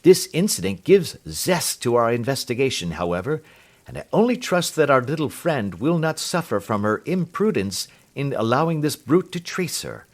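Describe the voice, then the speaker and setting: A man voicing a calm, amused detective who speaks quietly and imperturbably. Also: mid-range voice, normal speed, pitched above the performer's own voice.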